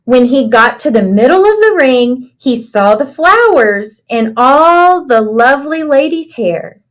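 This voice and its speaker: A woman reading a picture book aloud to children in an animated storytelling way, pausing to ask them simple questions. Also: high-pitched. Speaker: children's read-aloud teacher.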